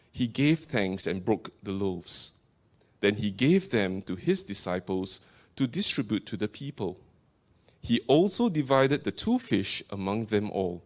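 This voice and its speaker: Earnest Singaporean guest preacher, man. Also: medium pitch. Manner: earnest, fervent praying with many repeated invocations of the Lord. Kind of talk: sermon with prayer and scripture reading.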